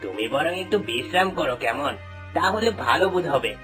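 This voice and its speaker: A man's voice: fairly high pitch, expressive, fairly quick, fairly bright tone, faint music behind. A man voicing a scheming demon husband in a fairy-tale cartoon, coaxing and secretive. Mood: falsely soothing. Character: scheming male demon husband, falsely soothing.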